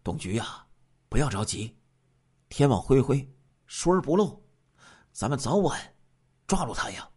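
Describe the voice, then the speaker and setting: A man's voice: mid-range voice, sing-song, unhurried, long pauses, a little mellow, slightly rough. A man voicing a hospitable, genial township chief in a story, coaxing in a colloquial way. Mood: consoling.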